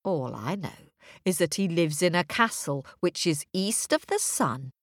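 A woman puts on a gentle, kindly old woman from a fairy tale, speaking low and unhurried. The voice is a little lower than her usual and sounds close and dry. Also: lively intonation, no echo.

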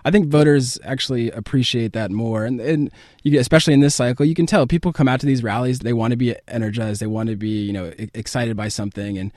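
A man's voice: medium pitch, fairly even intonation, quick pace, darkish tone, no room sound. American man answering in an interview, dry sound. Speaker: interview guest, political campaign advance man.

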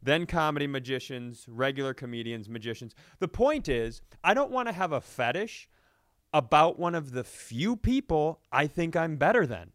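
Smooth, dry-sounding man riffing comically. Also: a little high, lively intonation, moderate pace, fairly bright tone, no echo. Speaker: comedy podcast co-host.

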